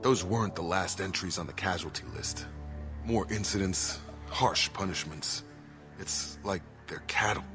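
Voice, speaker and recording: An actor playing a grim, plain-spoken soldier in a video game, brooding over his father's crimes. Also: low voice. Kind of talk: scripted video game dialogue. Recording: loud game music behind.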